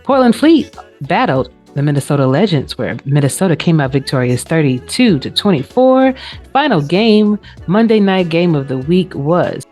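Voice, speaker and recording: Woman host with lively, swooping delivery. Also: sits low, moderate pace, soft background music. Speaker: show host. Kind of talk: casual sports recap.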